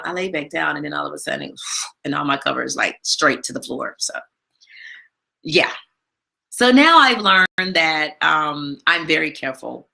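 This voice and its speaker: Low gravelly chatty woman host. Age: adult with a daughter. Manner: chatty, many fillers, big loud voice. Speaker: live stream show host. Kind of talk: casual live-stream chat. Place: home, not her usual studio.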